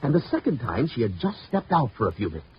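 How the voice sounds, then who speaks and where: A man's voice: mid-range voice, big pitch swings, fast, close to an everyday voice. An actor playing a hesitant, gossipy insurance agent in a 1950s radio drama, musing as he talks. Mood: musing, puzzled. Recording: dull, noisy old radio recording.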